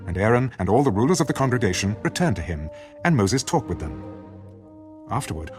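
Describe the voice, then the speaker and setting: A man giving a measured, solemn scripted narration of scripture as the narrator of an audio drama. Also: medium pitch.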